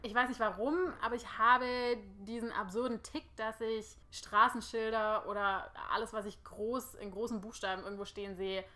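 A young woman in her late twenties, originally from the Lake Constance region, co-hosts a relaxed podcast. Her voice is bright and a touch high, and she talks in a chatty, self-mocking way with frequent giggles. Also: moderate pace, few pauses. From Germany, Lake Constance area. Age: 20s. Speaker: podcast co-host. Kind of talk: casual chat.

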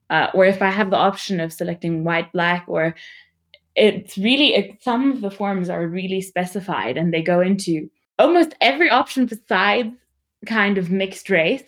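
A young woman giving a reflective personal account in a thoughtful, measured and candid way. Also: fairly low pitch.

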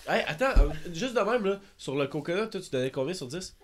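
A young man with a Quebec French accent bantering casually, blunt and quick to swear. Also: high voice, big pitch swings, a little hiss or hum. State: has a cold. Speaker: podcast participant.

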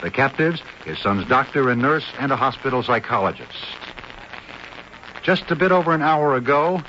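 A man reporting for a radio news network, reading a newscast in a crisp, clipped way on a dull, lo-fi recording. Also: medium pitch, expressive, moderate pace, sharp tone, slight background noise.

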